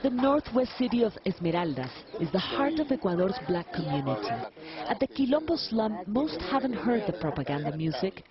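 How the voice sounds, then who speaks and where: Woman, news narration, formal delivery, lively pitch, lo-fi sound. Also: fairly quick, a loud crowd. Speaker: news reporter.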